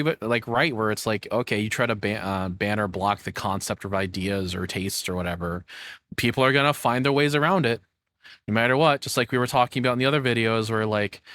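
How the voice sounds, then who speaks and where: A man's voice: mid-range voice, fairly bright tone, no echo. A man giving casual reaction commentary as a streamer, rambling along in informal, slangy speech.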